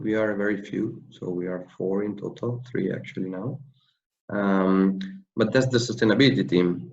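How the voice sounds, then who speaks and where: A man speaking as a panel guest in an online webinar, relaxed and filler-laden, with slightly non-native English phrasing. His voice is very deep with a gravelly fry. The call audio comes through dull and lo-fi. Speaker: panel guest, corporate sustainability lead. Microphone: video call.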